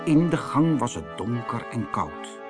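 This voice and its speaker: A man narrating a children's fairy tale in an expressive, dramatic storytelling manner. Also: mid-range voice, measured pace, over music. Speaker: audiobook narrator.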